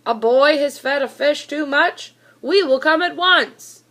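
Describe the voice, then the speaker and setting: A woman voicing a brisk, commanding fireman in a storybook, exclaiming orders. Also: high voice, fairly bright tone. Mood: surprised, resolute.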